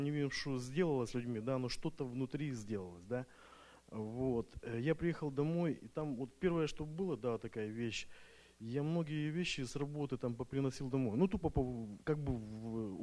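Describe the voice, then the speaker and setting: A man's voice: medium pitch, a little mellow. A man speaking Russian as a second language, giving a nervous, hesitant church testimony full of fillers and restarts. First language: not Russian. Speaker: retreat participant giving testimony.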